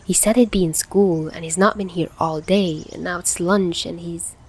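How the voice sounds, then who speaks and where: A woman's voice: on the low side, steady tone, normal speed, a little mellow, breathy edge, poor audio. Woman as a hot-tempered, blustering schoolboy. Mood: moping. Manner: blunt, swearing, blustering. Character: hot-tempered, stubborn, easily embarrassed teenage schoolboy.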